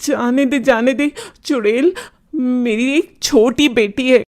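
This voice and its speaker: A woman's voice: sits high, slow pace, a little mellow. A woman voicing a scared male thief in a children's cartoon story, pleading in a panicky way. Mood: terrified.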